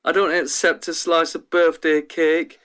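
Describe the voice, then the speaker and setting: Troubled young guy being interviewed, self-deprecating, flat-out matter of fact, lightish higher voice. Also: unhurried, slight background noise. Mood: downbeat, wry. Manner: downbeat, matter-of-fact, colloquial. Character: troubled, self-deprecating 26-year-old male trial patient. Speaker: actor in a radio play. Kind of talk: radio drama monologue, interview-like.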